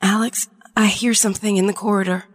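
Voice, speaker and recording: A woman playing a fragile, loving wounded lieutenant in a musical fan audio drama, halting and faint. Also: mid-range voice, little pitch movement, close to an everyday voice. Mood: weak, anxious.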